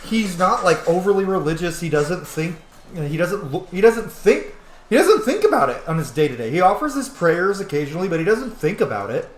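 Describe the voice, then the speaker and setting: A man's voice: high-pitched, big pitch swings, a little fast. A man giving casual, rambling commentary while playing a roleplay game, chatty and full of fillers and asides. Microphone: camera setup at home. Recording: roomy echo.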